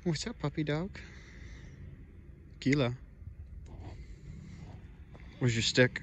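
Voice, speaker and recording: A man in his thirties casually vlogging his sailboat restoration, chatty and relaxed, swearing and laughing to himself while holding the camera at arm's length. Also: on the high side, drawn-out words, slight background noise. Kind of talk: casual self-filmed vlog narration. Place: inside a small boat cabin and outdoors in a yard. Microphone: handheld action camera at arm's length.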